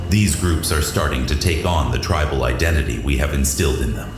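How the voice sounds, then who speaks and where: A man voicing a loyal aide to an alien god in an audio drama, deferential, measured, precise and formal. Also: extremely low pitch, pitched below the performer's own voice. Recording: echoey voice over loud music.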